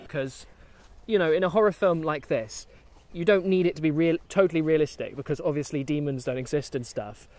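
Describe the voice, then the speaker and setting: Higher-pitched English man, musing aloud. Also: fairly quick, hardly any pauses, darkish tone. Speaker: film review vlogger. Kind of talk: casual film chat while walking. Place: outdoors, walking in woods.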